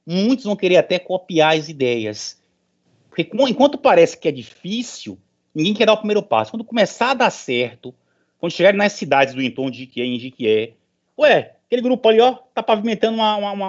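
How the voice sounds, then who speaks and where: A middle-aged professor, a man addressing an online meeting in an informal, emphatic, motivational way, repeating phrases for stress. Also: high voice. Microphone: online meeting call. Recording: dull lo-fi online call audio.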